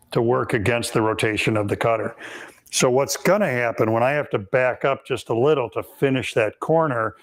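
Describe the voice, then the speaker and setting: A man with a raspy, hoarse voice, hosting a live woodworking Q&A and explaining techniques step by step in a shop, with frequent ums. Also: mid-range voice. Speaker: woodworking instructor and host.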